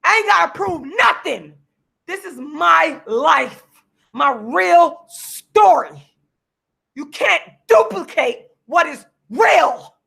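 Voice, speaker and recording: A woman in her forties, losing her voice, delivering a heated, repetitive, preachy rant straight to the camera while she sits signing books, sometimes breaking into shouts. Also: extremely high pitch, animated, slow, gravelly. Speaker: vlogger and activist. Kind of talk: impassioned direct-to-camera rant. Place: seated at home signing books.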